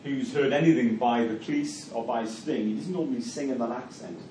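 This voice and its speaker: A man's voice: medium pitch, brisk. Geordie man lecturing in an echoey room. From Newcastle, England. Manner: hesitant, repeats words, many fillers. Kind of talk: university lecture with class discussion. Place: classroom. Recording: echoey room from far off, faint noise.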